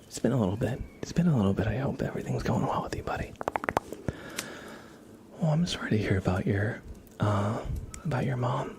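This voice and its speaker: A man doing casual ASMR live-stream chat in a soft, whispery, hushed voice, rambling informally. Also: medium pitch, animated, brisk, pauses often, noisy background.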